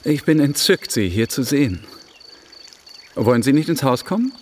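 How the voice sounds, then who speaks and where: A man plays a reserved gentleman admirer in a period radio play. His mid-pitched voice is calm and courteous, with faint insects chirping outdoors. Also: moderate pace, slight background noise, lightly acted. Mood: pleased. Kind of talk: German radio drama acting.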